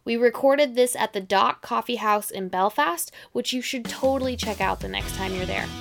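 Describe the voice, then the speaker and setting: A woman's voice: on the high side, normal speed, gravelly, soft background music. A woman hosting a podcast, introducing and interviewing a guest in a conversational way. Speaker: podcast host.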